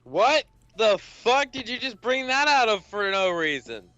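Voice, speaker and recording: A man's voice: high-pitched, sing-song, measured pace, pushed and bright, dull audio, soft background music. A man co-commentating on a game with casual, joking banter.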